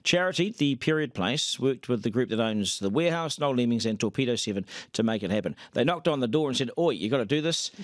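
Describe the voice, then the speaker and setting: A man's voice: mid-range voice, lively intonation, quick pace, hardly any pauses, no echo. A middle-aged man with a New Zealand accent, hosting a live TV studio interview in a brash, jokey, blokey way. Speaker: breakfast TV host. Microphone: desk microphone.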